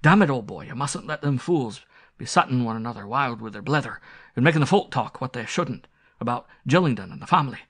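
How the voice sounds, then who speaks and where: A man's voice: mid-range voice, animated, brisk, fairly bright tone, voice pushed up. Man acting a blustering, fearful country squire. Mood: urgent, blustering. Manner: blustering, rustic, emphatic speech.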